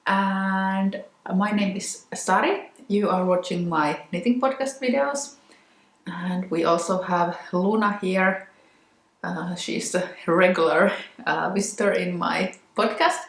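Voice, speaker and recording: A Finnish woman with a Finnish accent chats unhurriedly to her viewers about her knitting work. Her voice sits a little low and she often pauses with ums and restarts. The sound carries an echoey room around her. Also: steady tone. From Finland. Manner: hesitant, many ums and restarts. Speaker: knitwear designer and podcast host. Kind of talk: casual chat to camera.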